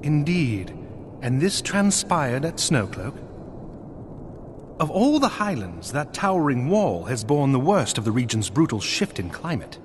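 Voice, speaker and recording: Casting: gracious lord of a knightly outpost, fantasy game; formal old-world diction, highly varied intonation. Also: male voice, very animated, measured pace, a little hiss or hum. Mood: thoughtful, resolute. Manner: formal, archaic, courtly phrasing. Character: earnest, gracious nobleman commanding a snowbound knightly outpost. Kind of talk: scripted fantasy game dialogue.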